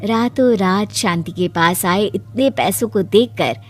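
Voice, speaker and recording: A woman's voice: mid-range voice, unhurried. A woman with a mid-range voice tells a cartoon folk tale as its narrator. It is scripted storybook reading, with music playing behind her.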